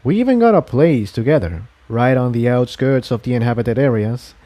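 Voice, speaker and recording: Man playing a gentle but firm male pony. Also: mid-range voice, expressive, normal speed, lightly acted. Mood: warm, consoling. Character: kind, steady male pony, loyal friend.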